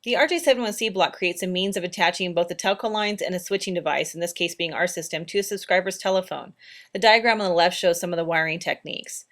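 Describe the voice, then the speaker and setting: Fast-talking woman presenting technical instructions. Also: fairly low pitch, a bit bright. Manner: plain, practical, step-by-step. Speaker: training presenter. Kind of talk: instructional slide narration.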